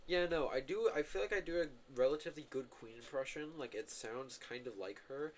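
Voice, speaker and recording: A man's voice: mid-range voice, fairly quick, slight background noise. A man streaming a video game, giving casual, rambling commentary full of filler words and self-corrections. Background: game music.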